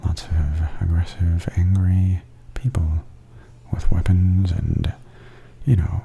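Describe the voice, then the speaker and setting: A man giving softly spoken ASMR tour commentary in a breathy half-whisper, calmly and gently describing things as a host. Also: sits low, muffled recording, slight background noise. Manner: calm, unhurried, gently descriptive.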